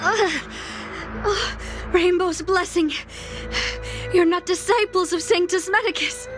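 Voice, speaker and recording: A woman's voice: very high-pitched, takes it slowly. A woman voicing a weakened, grateful wounded survivor in a video game, faltering with relief. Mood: dazed relief. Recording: music under the voice.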